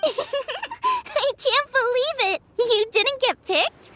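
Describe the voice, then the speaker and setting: Super high cheery young girl chef, swoops all over, video game style, music behind. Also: big pitch swings, drawn-out words, noisy background, full character voice. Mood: bubbly.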